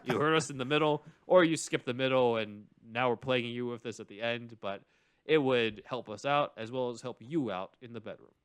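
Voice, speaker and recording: A man's voice: mid-range voice, brisk, smooth, no echo. A man co-hosting a podcast, reading out plugs in a casual, jokey way. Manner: jokey, bantering. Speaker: podcast co-host.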